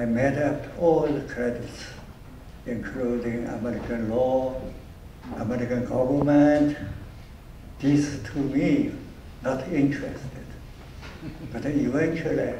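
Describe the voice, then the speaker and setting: An older man with a heavy Chinese accent, speaking in halting, broken English as an award honoree, repeating words as he goes. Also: mid-range voice, warm tone. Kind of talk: speech with audience Q&A. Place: event hall. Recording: echoey room from far off, faint noise.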